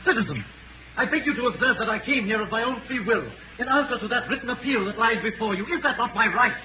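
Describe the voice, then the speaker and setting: An earnest nobleman held prisoner, pleading formally in a high voice. He declaims in 1930s radio drama manner, on a thin, hissy old broadcast recording. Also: animated, quick pace. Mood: indignant pleading. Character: earnest male French aristocrat held as prisoner. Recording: scratchy, narrow-band 1938 radio recording.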